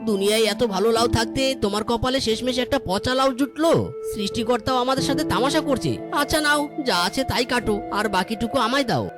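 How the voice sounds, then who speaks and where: A woman's voice: a little high, fairly quick, natural voice. A woman voicing a hot-headed, boastful male fox husband in a cartoon, exclaiming melodramatically. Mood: exasperated. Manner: exclaiming, melodramatic, catchphrase-driven. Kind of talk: exaggerated cartoon acting. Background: music.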